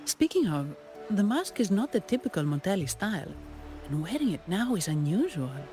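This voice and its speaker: A woman voicing a composed investigator in a fantasy game. She talks in a medium-pitched voice, formal and measured, analytical and courteous, with music clearly behind her. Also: sing-song, natural voice. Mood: calm, considering. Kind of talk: video game character acting.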